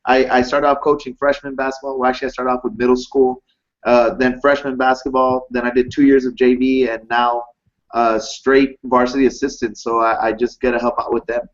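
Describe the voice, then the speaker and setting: A man's voice: medium pitch, little pitch movement, a little fast, muffled recording. A thirty-year-old man, a teacher and coach, talking earnestly and reassuringly with many fillers on a live video call. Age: 30s.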